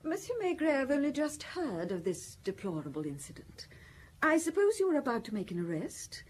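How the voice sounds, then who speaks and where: An actress playing a haughty, cold, wealthy wife in a radio drama, speaking in an imperious, sarcastic and formal manner. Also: female voice, high voice, sing-song, fairly quick, slightly rough, full character voice. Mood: cold, scornful.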